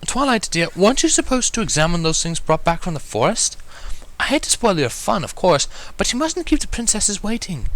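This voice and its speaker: A man's voice: high-pitched, sing-song, fairly quick, a bit bright, pitched above the performer's own voice. A man voicing a refined, dignified female cartoon pony with a proper, polite manner in a fan fiction reading. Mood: politely chiding.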